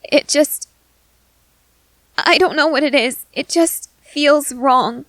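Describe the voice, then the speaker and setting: A woman playing a timid, gentle newly turned vampire in an ASMR roleplay, speaking hesitantly and haltingly. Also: high voice, no room sound, heavily acted. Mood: hesitant, uneasy. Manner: hesitant, halting, soft-hearted.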